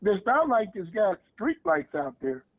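A hoarse, gravelly man with a somewhat high voice tells a story with emphasis. His voice comes through a tinny, phone-like line with no room sound. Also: big pitch swings, unhurried, no room sound. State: losing his voice. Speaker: podcast co-host. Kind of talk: casual chat.

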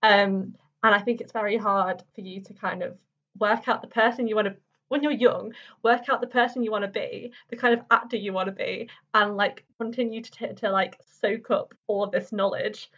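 A woman's voice: medium pitch, fairly even intonation, moderate pace, fairly bright tone. Casting: young female podcast host, casual and tentative, mid-range voice, recorded off-mic and muffled. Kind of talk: casual interview chat. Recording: distant mic, dull lo-fi sound.

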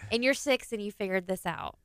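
A woman in her thirties chatting casually as a co-host, animated and laughing often. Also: medium pitch, brisk, smooth, dry recording. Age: 30s. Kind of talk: casual chat.

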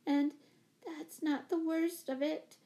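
Woman playing a high-pitched, fluttery anxious spinster. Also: animated, moderate pace, warm tone. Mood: flustered, breathless. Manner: gushing, flustered, distracted. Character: fluttery, anxious, apologetic older spinster neighbour with pet monkey. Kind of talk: children's storybook character voice.

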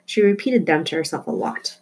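A woman with a slightly husky voice reading a children's novel aloud as scripted narration. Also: low-pitched, expressive, fairly quick, darkish tone. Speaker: storybook reader.

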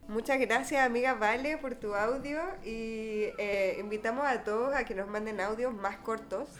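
A woman's voice: fairly high pitch, moderate pace. A Chilean woman co-hosting a podcast in casual conversation. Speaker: podcast co-host. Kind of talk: casual chat.

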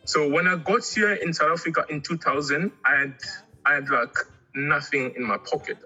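A middle-aged man from Angola, raised in Congo, chatting casually as an artist guest in an interview, halting and often repeating words. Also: on the high side, steady tone, pushed and bright, breathy edge, highs cut off, soft background music.

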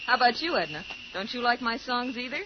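A woman's voice: on the high side, expressive, quick pace, lightly acted. A woman playing a brassy wisecracking young gal. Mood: playful. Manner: joking, brassy. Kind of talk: 1940s radio acting. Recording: dull old radio recording with faint noise.

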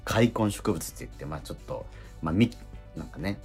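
Radio co-host, man, rambling animated chat with lots of fillers, swinging pitch, faint music under it. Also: brisk, gravelly. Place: radio studio.

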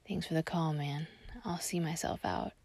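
A woman voicing an easygoing, cheeky man in a breathy, offhand whisper. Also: deep voice, fairly even intonation, normal speed, a little mellow, no room sound, pitched below the performer's own voice. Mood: casual. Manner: casual, offhand.

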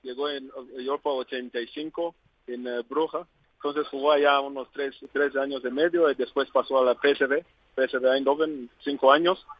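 A man's voice: mid-range voice, steady tone. A man from Zambia talks in Spanish that is not his first language, stopping often to hunt for words. His voice comes through a dull, lo-fi line. The sound is dry, with no room echo. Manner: halting, searching for words with many fillers. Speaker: interview guest. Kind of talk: phone interview.

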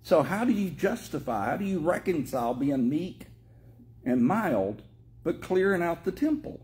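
A man's voice: on the high side, very animated, moderate pace, a little mellow. A middle-aged man from Tennessee with a Tennessee accent, a pastor chatting about scripture in a joking, self-mocking way. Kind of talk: casual chat on scripture.

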